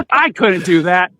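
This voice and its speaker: A man's voice: high voice, pushed and bright, no echo. A man hosting a podcast in a casual, conversational style. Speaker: podcast host.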